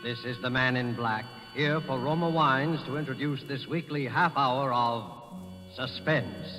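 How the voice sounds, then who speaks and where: A man announcing in a formal, measured, suspenseful 1940s radio style. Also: mid-range voice. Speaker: announcer and host. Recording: reverberant old radio recording with music. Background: dramatic music.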